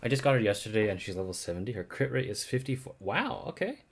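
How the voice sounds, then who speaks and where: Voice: mid-range voice, big pitch swings, quick pace, a little hiss or hum. A game streamer chatting casually in live commentary, informal and sweary, with stop-start phrasing.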